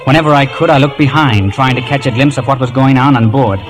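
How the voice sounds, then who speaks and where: A man's voice: mid-range voice, quick pace, natural voice. A man playing an earnest narrator who recounts a seafaring adventure in an old radio drama. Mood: suspenseful recounting. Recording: old radio broadcast under loud music.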